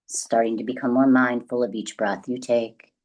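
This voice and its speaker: Very low-pitched woman guiding meditation. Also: fairly even intonation. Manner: gentle, slow, deliberate phrasing with long pauses. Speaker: meditation guide. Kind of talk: guided meditation, soothing instruction.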